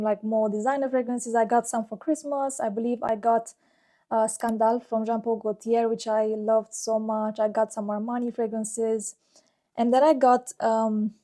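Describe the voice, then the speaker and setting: A woman's voice: a little high, fairly even intonation, normal speed, mellow. A woman, talking casually and fondly about her own life as a YouTuber, with many "like" fillers. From Romania. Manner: chatty and fond, with frequent "like" fillers. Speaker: fragrance YouTuber. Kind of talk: casual personal vlog talk.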